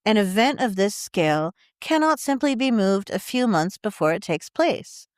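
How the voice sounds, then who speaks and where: A woman's voice: medium pitch, sing-song, moderate pace, dry recording, studio quality. A woman journalist reading a written article aloud as scripted narration.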